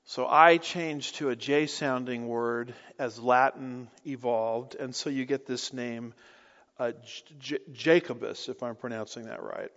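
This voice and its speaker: A man teaching through a Bible book in a deliberate way, with rhetorical questions and light asides. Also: mid-range voice, steady tone, fairly bright tone. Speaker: pastor. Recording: dull audio with long hall reverb.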